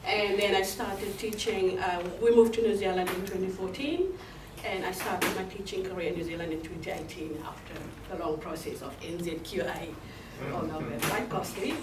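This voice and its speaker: A woman's voice: fairly low pitch, normal speed. A woman introducing herself to a group, a little hesitant and correcting herself as she goes. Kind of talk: self-introduction. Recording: roomy, distant, noisy room.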